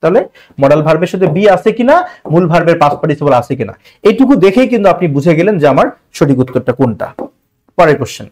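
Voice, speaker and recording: High-pitched, animated Bangladeshi male teacher. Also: fast talker. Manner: colloquial, rhetorical questions, repeats key phrases. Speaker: online English grammar teacher. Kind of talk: exam-prep lesson, explaining multiple-choice questions.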